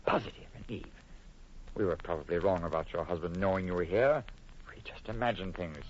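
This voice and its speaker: An actor playing an ardent, protective lover in old-time radio horror, earnest and melodramatic, anxious and pleading. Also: male voice, sits low, brisk, lightly acted. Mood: earnest, reassuring. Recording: noisy, dull old-time radio broadcast.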